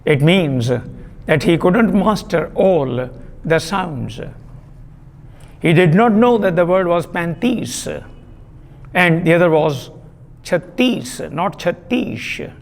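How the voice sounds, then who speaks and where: A man giving a classroom lecture on literary theory, deliberate and repetitive, pausing with fillers. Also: on the high side, lively intonation, creaky, in a large hall. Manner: deliberate, repeats key terms, frequent uh fillers.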